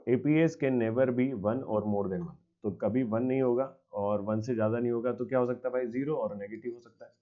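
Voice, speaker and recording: A man's voice: medium pitch, normal speed, few pauses, phone-quality sound. A male commerce teacher giving a recorded exam-prep lecture, explaining step by step and answering his own questions. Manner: mixes English terms into his speech.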